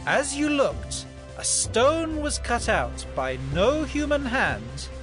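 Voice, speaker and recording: A man's voice: very high-pitched, big pitch swings, exaggerated voice. A man playing a fervent prophet who solemnly and emphatically interprets a king's dream in a dramatized scripture production. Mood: solemn, awed. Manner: solemn, emphatic, deliberate proclamation. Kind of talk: dramatized scripture acting, declamatory. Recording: music bed under the voice.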